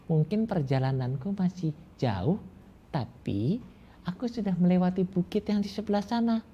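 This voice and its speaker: Casting: a man doing a wistful, eager young shepherd, voice lifted above his own, lively, on a slightly muffled recording. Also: high-pitched, normal speed, husky, voice pushed up. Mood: unsure. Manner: raised, eager exclamations.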